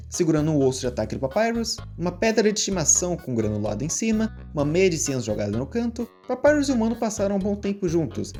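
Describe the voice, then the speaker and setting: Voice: medium pitch, darkish tone. A narrator reading a scripted story in a storytelling style. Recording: music behind the voice.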